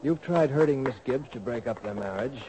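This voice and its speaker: An actor playing a courteous, scheming professor in a radio soap opera, speaking formally and deliberately. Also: male voice, mid-range voice, lively intonation, background noise, natural voice. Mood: measured, scheming. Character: suave scheming male professor, courtly and measured. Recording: old radio recording, organ music behind.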